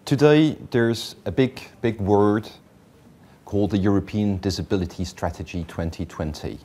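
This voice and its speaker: A man's voice: on the low side, moderate pace, warm tone. A man interviewing a guest, asking probing questions with some hesitant fillers.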